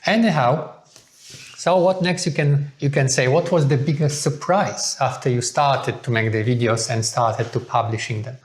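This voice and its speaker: A man speaks at a middling pitch. He addresses viewers as a co-host in a relaxed chat, laying out his thoughts in a measured, earnest manner. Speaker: co-host of a couple's video channel.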